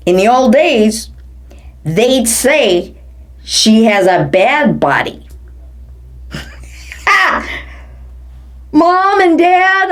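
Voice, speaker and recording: A woman from the United States giving a theatrical satirical reading, swinging between low mutters and high exclamations. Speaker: performance artist. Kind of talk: satirical dramatic reading of quotes. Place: home living room.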